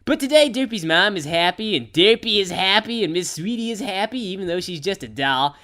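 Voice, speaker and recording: A woman's voice: mid-range voice, animated, a little fast, a bit bright, natural voice. A woman voicing a brash young female pony who narrates a children's story in simple childlike phrases mixed with sardonic slang. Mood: animated, irreverent.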